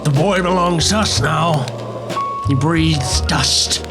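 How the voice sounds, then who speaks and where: A man's voice: fairly high pitch, takes it slowly. A man playing a menacing, gloating cult wizard in a noir fantasy radio play, grandiose and threatening. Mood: gloating menace. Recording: loud music behind the voice.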